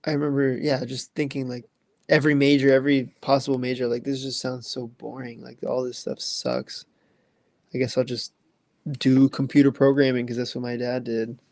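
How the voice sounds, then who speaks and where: A man's voice: mid-range voice, little pitch movement, moderate pace, darkish tone, no room sound. A man in his twenties chatting casually on a livestream, rambling loosely with lots of fillers. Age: 20s. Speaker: livestream host answering chat. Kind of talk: casual chat.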